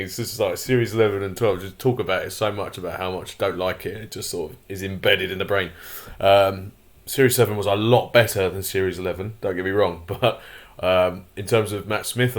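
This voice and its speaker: Vlog host, male, deep and croaky, rambly and opinionated. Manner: rambling, many fillers and restarts; opinionated, grumbling asides. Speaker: channel host. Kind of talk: casual commentary vlog.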